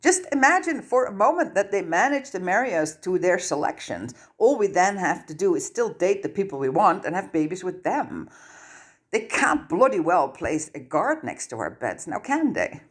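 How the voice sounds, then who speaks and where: A woman's voice: fairly low pitch, big pitch swings, normal speed, pitched above the performer's own voice. A woman voicing a blunt, baffled teenage boy wizard in an audiobook, grumbling in an incredulous manner. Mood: indignant scoffing.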